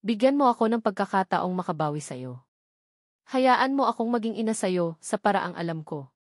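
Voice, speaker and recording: A woman's voice: medium pitch, animated, dry recording, clean studio sound, lightly acted. A woman voicing a wealthy older mother, remorseful and pleading, in an audiobook melodrama. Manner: pleading, halting with repeated words.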